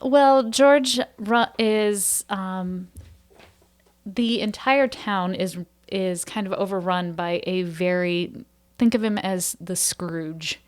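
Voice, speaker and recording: A woman's voice: mid-range voice, slow pace. A woman who acts on stage, talking as a podcast guest and explaining things in a casual interview style.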